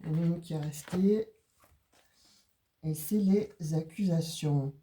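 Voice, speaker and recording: A woman's voice: low voice, a little slow, dark tone. A woman with a cold doing an oracle card reading for her viewers, talking casually with many hesitations and restarts. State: has a cold. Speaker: tarot and oracle card reader.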